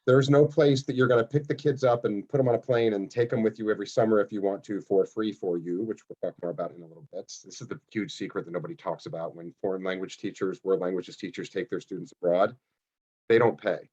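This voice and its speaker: A man hosts a casual panel, talking quickly in a husky voice and rambling eagerly from one thought to the next. He often restarts his sentences. The sound is dull and lo-fi, like an online call. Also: on the low side, fairly even intonation, a little mellow. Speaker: panel moderator.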